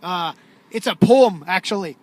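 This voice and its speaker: Male co-host, raised pitch, mock-announcer patter, dry teasing, recorded in a car. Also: unhurried. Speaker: podcast co-host. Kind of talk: casual banter while driving. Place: inside a car.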